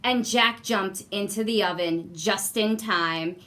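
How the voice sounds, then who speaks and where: Slow, bright-voiced woman reading a storybook. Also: medium pitch. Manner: deliberate repetition and emphasis for young listeners. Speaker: guest story reader. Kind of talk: expressive children's storybook reading.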